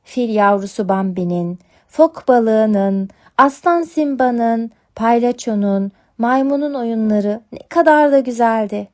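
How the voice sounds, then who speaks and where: A woman's voice: fairly high pitch, slow, darkish tone. A woman narrating a scripted storybook tale for children as a storyteller.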